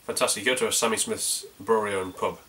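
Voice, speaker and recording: Bright-voiced North Yorkshire man reviewing beer. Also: fairly low pitch, fairly even intonation, fast. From North Yorkshire, England. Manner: chatty and rambling, with frequent fillers and restarts. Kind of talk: casual video beer review.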